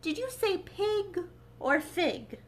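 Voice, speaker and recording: A woman's voice: extremely high pitch, expressive, slow pace, slight background noise, voice pushed up, heavily acted. A woman voicing a mad, casually illogical talking cat in a storybook, speaking emphatically and matter-of-factly. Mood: casual, curious.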